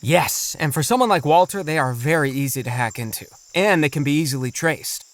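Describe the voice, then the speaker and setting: Animated, thoughtful dad acted by a man. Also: on the high side, brisk. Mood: explaining. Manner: explanatory, reassuring. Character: thoughtful, kindly father of two children. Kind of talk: children's audio drama acting.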